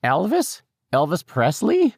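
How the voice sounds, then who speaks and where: Indignant elderly nursing-home woman, played by a male narrator: high, drawn-out, stubborn and confused. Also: huge pitch swings, vocal fry, husky, no echo, studio quality, exaggerated voice. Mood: suspicious. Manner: loud, stubborn, drawn-out protests.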